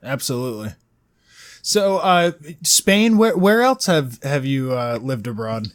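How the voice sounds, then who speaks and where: A man hosting a podcast, asking a guest questions in a relaxed, casual way with a few restarts. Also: mid-range voice, a little slow. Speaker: podcast host. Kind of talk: casual interview.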